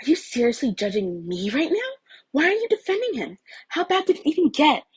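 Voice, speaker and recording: A woman's voice: high-pitched, wildly swooping pitch, a little fast, pitched above the performer's own voice. A woman voicing a fierce, indignant teenage schoolgirl in a story, confrontational and incredulous. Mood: angry, incredulous.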